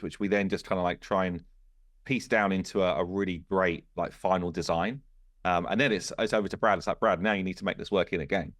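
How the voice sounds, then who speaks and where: Male podcast host, low voice, dry close sound, informal explainer who slips into imagined quotes. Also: no echo. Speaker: game studio founder and podcast host. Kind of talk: casual podcast discussion.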